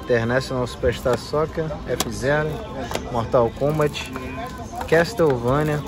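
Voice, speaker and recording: A man with a Carioca accent vlogging from an outdoor flea market, talking excitedly in quick slangy bursts and exclaiming often. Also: mid-range voice, music in the background. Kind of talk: casual handheld market vlog. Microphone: phone camera held in hand.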